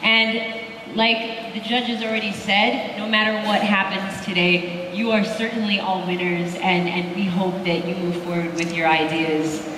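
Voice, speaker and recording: A woman's voice: medium pitch, level tone, a little slow, background noise. Upbeat woman emceeing in an echoey hall. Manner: upbeat, encouraging. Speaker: event host. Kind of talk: emcee on stage. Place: large event hall. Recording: echoey hall from far off with crowd.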